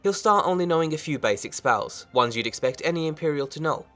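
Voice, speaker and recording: A man's voice: a little high, expressive, quick pace, bright, forceful tone. A man hosting a game mod review, reading scripted narration in an orderly presenter's manner. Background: music.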